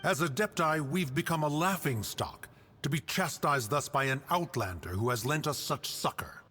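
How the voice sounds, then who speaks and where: A man's voice: on the high side, animated, normal speed, pushed and bright, exaggerated voice. An actor voicing a proud, aloof male guardian spirit who speaks in formal, archaic phrases. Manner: formal, archaic, refers to himself as one. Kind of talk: video game cutscene voice acting. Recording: game audio with clear music behind.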